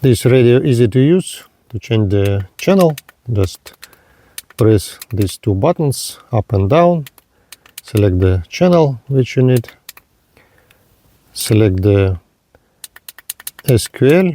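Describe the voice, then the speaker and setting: A man narrating a hands-on product demonstration in halting, simple step-by-step phrases. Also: mid-range voice, animated, warm tone. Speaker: product reviewer.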